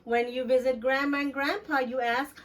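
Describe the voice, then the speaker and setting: A woman reading a children's storybook aloud in an expressive, deliberate storytelling manner for young listeners. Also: high-pitched, distant mic. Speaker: children's book read-aloud host. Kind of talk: scripted storybook narration for children.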